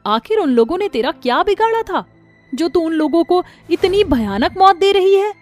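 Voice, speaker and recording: A man's voice: very high voice, sing-song, normal speed, soft background music, voice pushed up. A man voicing a brave young village wife who fiercely confronts a witch in a cartoon story. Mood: accusing. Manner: shouting, accusing, fierce.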